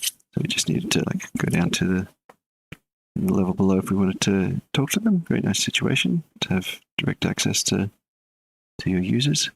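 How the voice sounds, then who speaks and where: A man's voice: low voice, moderate pace, creaky, breathy edge, rough recording. A man streaming a live coding session, thinking aloud as he works and chatting casually with his viewers. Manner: relaxed, mutters while working, chats with viewers between code. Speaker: game developer streaming live.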